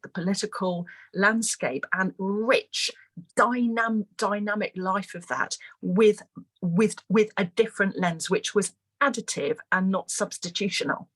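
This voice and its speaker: A woman from Malawi, a school head on a webinar panel, speaking earnestly and articulately with emphatic repetition in a husky voice. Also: mid-range voice. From grew up in Malawi as an expatriate. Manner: earnest, emphatic, articulate, repeats words for stress.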